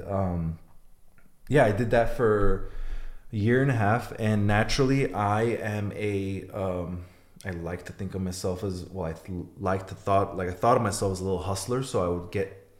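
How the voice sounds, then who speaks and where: Blunt low-voiced man in echoey room. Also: normal speed, a little mellow. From Iran. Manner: blunt, swears freely, plain storytelling. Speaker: guest. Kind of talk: podcast interview.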